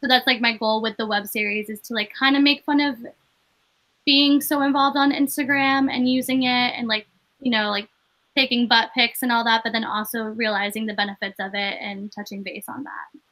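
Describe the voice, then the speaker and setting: Young comedian woman, bright and a touch high-pitched, rambling casual guest. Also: fairly even intonation, normal speed. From Maine. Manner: chatty, lots of "like" fillers. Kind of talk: casual interview chat.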